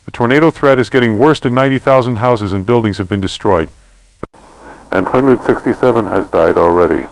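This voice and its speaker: A man reads a scripted emergency bulletin as the announcer. His voice sits on the low side with plenty of fry, and the sound is dry with very little room. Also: a little fast, no room sound, rough recording. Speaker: warning announcer.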